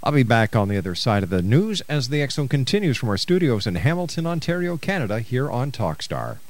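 A man's voice: medium pitch, big pitch swings, a little fast, no echo. A man hosting a radio talk show in a chatty, courteous, slightly hesitant conversational way. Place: radio studio.

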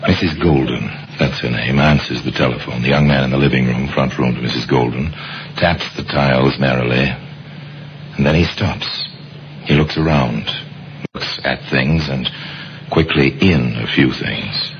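An actor playing a grave, ominous narrator of macabre crime tales in an old radio mystery, with measured, dramatic, clipped phrasing. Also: male voice, rumbling low. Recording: dull, noisy old radio, long reverb.

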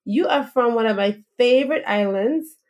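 A woman hosting a podcast, interviewing a guest in a slow, deliberate, conversational way. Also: fairly high pitch, darkish tone, dull audio.

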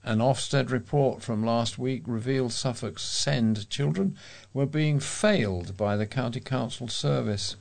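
A man's voice: mid-range voice, a little slow, vocal fry. A man reading local news aloud as a volunteer reader for a talking newspaper.

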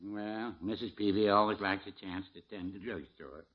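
An actor playing a dry, mild-mannered small-town druggist in a 1950s radio sitcom. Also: male voice, on the low side, fairly even intonation, normal speed, close to an everyday voice. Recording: muffled 1950s radio recording.

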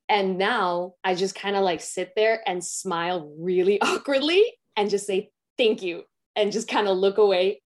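A young woman, an actress, with a raspy, low voice, talking candidly and reflectively in a casual interview, with many "like" fillers. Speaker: actress guest.

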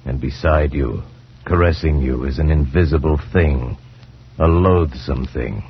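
Very deep, ominous radio suspense announcer. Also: male voice, slight background noise. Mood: ominous, dramatic. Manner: dramatic, deliberate, ominous. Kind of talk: 1940s radio suspense narration. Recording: muffled 1940s radio recording.

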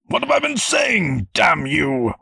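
Male narrator as self-important audiobook lord: very raspy, swooping delivery, impatient, declamatory. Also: medium pitch, big pitch swings, slow, a bit bright, no room sound, studio quality, voice pushed up, full character voice. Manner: affected noble airs, declamatory. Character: pompous, impatient would-be hero nobleman.

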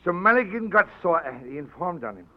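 A man's voice: high voice, very animated, brisk. An actor playing a boastful, panicky drunken informer, stammering as he talks, in a 1940s radio drama on a muffled old broadcast. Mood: flustered. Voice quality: blustering, stammering.

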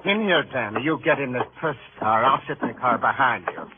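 A man acting a twisted, murderous villain in a 1950s radio crime drama, speaking in clipped, deliberate, precise phrases. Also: mid-range voice, expressive, lightly acted. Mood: smug menace. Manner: clipped, deliberate, menacing orders. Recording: noisy, tinny old radio drama recording.

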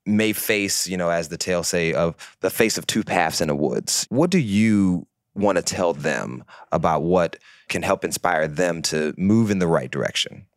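A male host with a very deep voice, recorded dry and close. He affirms his guest warmly, then sets up long, carefully framed questions. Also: lively intonation, normal speed, few pauses, no room sound. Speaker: interview host. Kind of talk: interview conversation.

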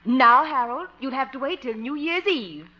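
An actress playing a coy, sugary, flirtatious sweetheart in a 1950s radio comedy, cooing and teasing. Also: female voice, high-pitched, lively intonation, normal speed. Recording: muffled vintage 1950s radio broadcast.

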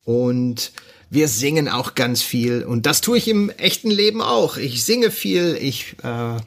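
A man's voice: mid-range voice, expressive, slow pace, creaky, a bit bright. Cheerful Dutch man speaking German. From Netherlands. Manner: cheerful, laughs often, searches for words. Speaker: podcast guest. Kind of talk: casual chat.

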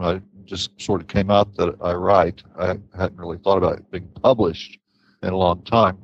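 A man, a novelist, telling his story in a casual interview in a wry, reflective and halting way, on a slightly dull lo-fi recording. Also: low voice.

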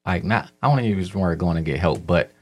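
Man, host, very low voice, curious, probing questions, casual interview. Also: fast, darkish tone, soft background music.

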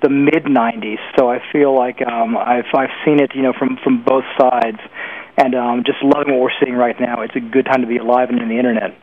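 A middle-aged man speaking as an industry pundit in a conversational commentary, halting and often correcting himself. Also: mid-range voice, level tone. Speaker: guest, internet industry pundit. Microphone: telephone call. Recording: tinny phone line, faint noise.

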